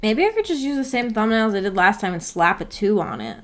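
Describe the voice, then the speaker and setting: A woman with a mid-pitched voice hosts a livestream in a casual, unhurried manner. She thinks out loud and rambles along, moving between her own remarks and comments she reads from viewers. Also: big pitch swings. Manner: laid-back, rambling, thinking aloud. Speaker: livestream host.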